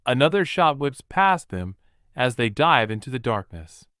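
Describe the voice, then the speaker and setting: A man's voice: medium pitch, big pitch swings, normal speed, fairly bright tone, dry recording, studio quality. Vivid male horror audiobook narrator. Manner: vivid, present-tense storytelling.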